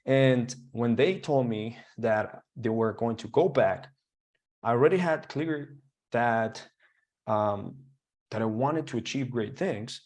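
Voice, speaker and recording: A man's voice: mid-range voice, slow, darkish tone. A young man with a Colombian Spanish accent, a guest telling his own story in a casual interview, rambling with fillers and restarts.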